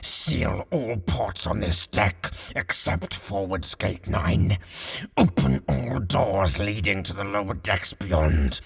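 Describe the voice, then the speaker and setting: A man voicing a battle-maddened armored officer who barely holds back his bloodlust, growling out strained, clipped commands in a husky voice. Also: fairly low pitch, lively intonation, slow, voice pushed down, exaggerated voice. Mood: strained, grim.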